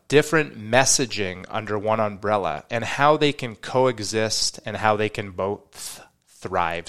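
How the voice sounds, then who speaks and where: A man's voice: fairly low pitch, bright, forceful tone, clear, resonant tone. A man hosting a podcast, opening the episode with enthusiasm and then asking questions in an upbeat, slightly rambling way. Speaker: podcast host. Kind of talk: podcast intro and interview.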